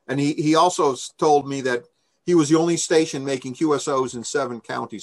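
An older man giving an informal slide briefing over a video call, conversational and enthusiastic in manner. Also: a little high. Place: small room indoors, on a video call. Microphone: video call microphone.

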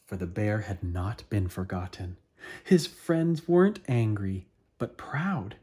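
A man in his thirties reading a children's picture book aloud expressively, with dramatic, deliberate pauses. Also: fairly low pitch, warm tone. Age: 30s. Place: small living room at home, indoors.

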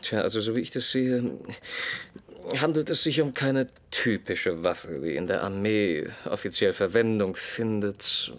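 An actor playing a stern, shrewd master detective in a radio play, speaking in a commanding, condescending and deliberate way. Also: male voice, on the low side, fairly bright tone. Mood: pondering. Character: Sherlock Holmes, stern, shrewd master detective, adult man.

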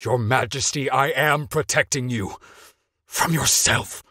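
A man's voice: medium pitch, unhurried, no room sound, studio quality. A man playing a loyal older knight in a video game, speaking in a grave, restrained, formal manner. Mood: firm restraint. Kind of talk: dramatic video game voice acting. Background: loud music.

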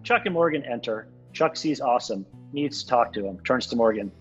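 A man with a husky voice reading stage directions at a script table read. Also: medium pitch, animated, brisk, highs cut off, faint music behind.

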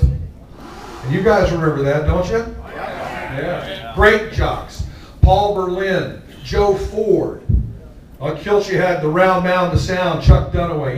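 A man's voice: a little high, a little mellow. An older man from Texas giving an award acceptance speech on stage, telling stories in a jovial way with a handheld microphone. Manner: jovial, storytelling, laughs along with the crowd. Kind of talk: anecdotal speech to an audience. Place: large hall, on stage. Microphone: handheld mic, filmed from far off. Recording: echoey hall, far from the camera.

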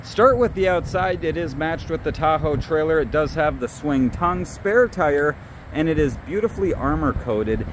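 A man's voice: fairly high pitch, lively intonation, moderate pace, slight background noise. A man walking through a boat on a dealership lot outdoors, upbeat and listing features one by one. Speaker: boat salesman giving a walkthrough. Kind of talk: product walkthrough.

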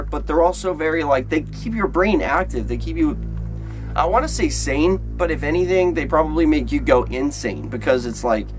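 A man in his twenties hosting a video, talking casually to camera in a rambling, emphatic way and repeating himself. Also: a little high. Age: 20s. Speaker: video host.

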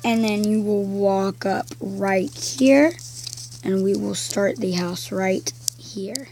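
A woman with a croaky voice giving casual gameplay commentary, slowly counting blocks aloud as she builds. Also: medium pitch, fairly even intonation, a little mellow, slight background noise. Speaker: game video creator.

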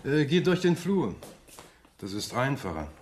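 A man's voice: medium pitch, very animated, unhurried, background noise. An actor playing a rich, controlled businessman in a radio play, courteous yet curt and authoritative. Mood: curt, businesslike. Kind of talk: German radio play acting.

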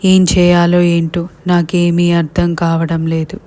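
Woman as a flat-toned chained grown elephant. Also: fairly low pitch, measured pace, close to an everyday voice. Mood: wistful. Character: grown female elephant, chained, longing and helpless. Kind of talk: cartoon moral story voice acting.

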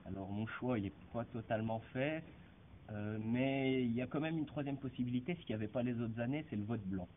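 A man's voice: medium pitch, brisk. A young man being interviewed outdoors on a green, thinking aloud and searching for his words. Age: young adult. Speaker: passer-by interviewed in a park. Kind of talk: street interview. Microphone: handheld mic held by interviewer. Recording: phone-like sound, street noise.

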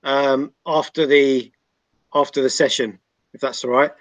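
A man's voice: medium pitch, little pitch movement, measured pace, no room sound. A man in his thirties hosting an online whiskey tasting, asking questions hesitantly with repeated words over a video call. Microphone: laptop webcam on video call.

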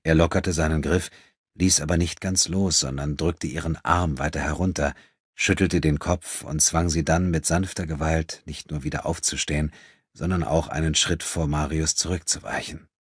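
Deep, husky male audiobook narrator. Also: steady tone, normal speed, no echo, clean studio sound. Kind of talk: scripted literary narration.

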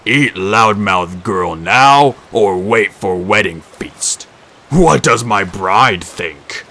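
A man voicing a huge, dim-witted male cyclops who growls his words slowly and heavily. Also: lowish voice, lively intonation, sharp tone, full character voice. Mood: growling menace. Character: huge brutish one-eyed male cyclops.

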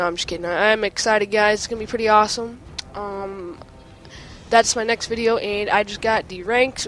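A male gaming host with a stuffy nose from a cold, chatting excitedly while clicking through game menus at home. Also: high voice, rough recording. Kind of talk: casual game menu walkthrough.

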